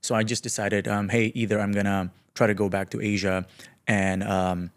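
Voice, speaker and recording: Guy late 20s from indonesia, lowish voice, casual podcast guest, slangy and self deprecating. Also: flat delivery, normal speed. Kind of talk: casual conversational interview.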